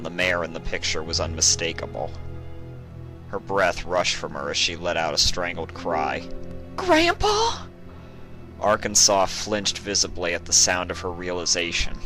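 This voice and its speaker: Low-voiced man narrating dramatically over music. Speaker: audiobook narrator. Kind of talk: dramatic scripted story narration.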